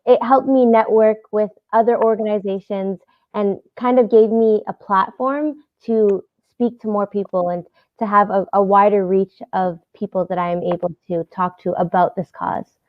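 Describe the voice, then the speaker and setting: An earnest young woman talks through her answers in a relaxed interview, pausing on fillers and laughing lightly now and then. Her voice sits at a middle pitch. It is recorded dry and slightly dull. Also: no room sound. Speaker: pageant titleholder, interview guest.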